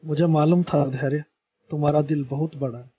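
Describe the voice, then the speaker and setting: An actor in an amateur radio play voicing an elder brother who speaks hopefully and trustingly. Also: male voice, fairly high pitch, steady tone, normal speed, close to an everyday voice. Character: elder brother, hopeful and warm toward Dhairya. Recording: muffled recording with faint background noise.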